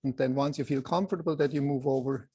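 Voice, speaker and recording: A soft-voiced man with a Swiss accent presenting an informal photography lecture to a group, in halting phrases with many pauses. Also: mid-range voice, steady tone, husky. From Switzerland.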